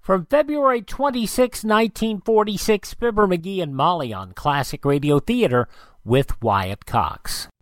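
A man's voice: sits high, lively intonation, a little slow. A man presenting a radio show in a folksy, rambling, conversational way from a home studio.

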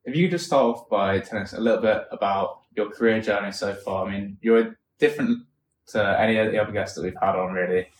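A man hosting a podcast, asking long, relaxed, rambling questions. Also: lowish voice, fast talker. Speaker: podcast host. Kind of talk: casual podcast interview. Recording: roomy echo, faint room noise.

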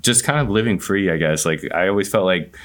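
A man's voice: low voice, a little fast, fairly bright tone. A man in his twenties from the American Southwest, chatting casually and informally as a podcast guest. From southwestern United States. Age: 20s. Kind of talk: casual chat.

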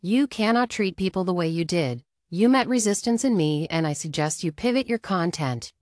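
A woman's voice: on the low side, lively intonation, normal speed, a little mellow, dry recording, clean studio sound, close to an everyday voice. A woman dramatically reading the part of a woman standing up to a bully, firm and curt. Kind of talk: dramatic reading of a text exchange.

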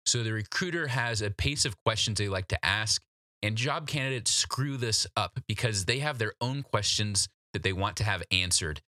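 A man's voice: on the low side, moderate pace, bright, forceful tone, dry recording, clean studio sound. A man hosting an instructional solo podcast, coaching the listener in a direct, practical, conversational way. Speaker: podcast host giving job interview advice.

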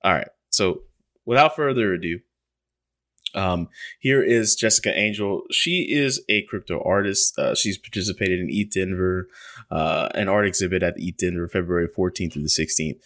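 Low-voiced man rambling casually. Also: normal speed, a bit bright. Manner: loose, rambling, swears casually. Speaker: podcast host. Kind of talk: casual chat.

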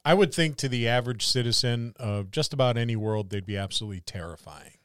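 A man's voice: medium pitch, quick pace, fairly bright tone, smooth, no room sound, studio quality. A man co-hosting a gaming podcast as a game master, discussing things casually.

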